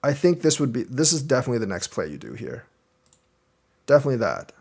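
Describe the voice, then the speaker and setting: Young adult male Go teacher, casual game review, thinks aloud move by move, mid-range pitch. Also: wildly swooping pitch, quick pace, creaky, darkish tone, slightly rough. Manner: thinks aloud, explaining moves step by step.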